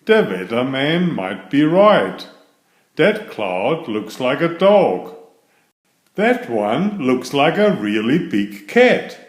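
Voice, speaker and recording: A man voicing a cheerful, literal-minded housekeeper woman in a children's storybook, in a bright, wondering, sing-song way. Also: mid-range voice, very animated, slow. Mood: chirpy. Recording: roomy echo.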